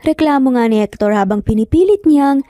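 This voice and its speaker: Female narrator for romance drama: warm tone, fairly high, close dry sound with light music behind. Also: expressive, fairly quick, no echo. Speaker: story narrator.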